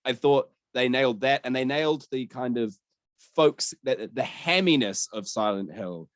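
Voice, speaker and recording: A man's voice: medium pitch, moderate pace, dry recording, studio quality. A man hosting a casual podcast chat in an enthusiastic, wordy way. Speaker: podcast host. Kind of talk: casual podcast chat about games and film.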